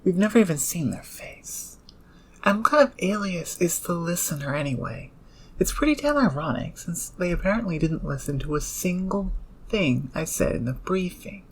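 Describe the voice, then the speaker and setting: A prickly, brainy thief played by a woman with a low, breathy, rough-edged voice. She is blunt and proud, clipped in delivery, in an audio roleplay. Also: expressive, moderate pace, low-quality recording. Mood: angry, scolding. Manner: scolding, sarcastic, clipped. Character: irritable, brainy young female heist planner, blunt and proud. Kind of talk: ASMR audio roleplay acting.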